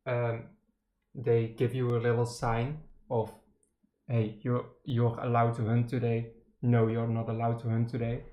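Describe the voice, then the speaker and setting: A man running a tabletop game as game master, explaining in halting stretches with restarts. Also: medium pitch, completely flat delivery, dark tone. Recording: echoey room.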